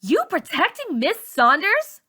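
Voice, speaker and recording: A woman storyteller puts on a mean schoolgirl bully, high-pitched and hard-edged. She is bossy and taunting, throwing out threats with loud force, as in a dramatic storybook reading. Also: wildly swooping pitch, unhurried, full character voice. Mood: angry, threatening. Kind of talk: dramatic audiobook character voice.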